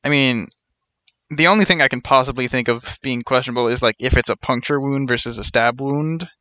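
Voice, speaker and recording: Male game streamer, thirties, bright mid-pitched voice, wry casual commentary, dry close mic. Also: brisk, dry recording, muffled recording. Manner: joking, wry.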